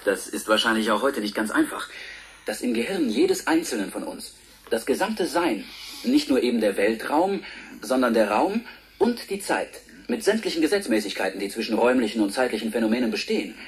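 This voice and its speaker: Man acting an earnest interviewer, animated intonation. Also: medium pitch, brisk, a little hiss or hum, close to an everyday voice. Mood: earnest, probing. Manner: formal, articulate, probing. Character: earnest, formal male television interviewer. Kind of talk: German radio drama acting.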